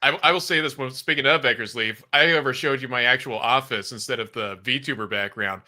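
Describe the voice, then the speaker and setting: A man's voice: medium pitch, fairly quick, sharp tone. A man chatting casually as a co-commentator on a sports broadcast. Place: remote online stream with a VTuber avatar.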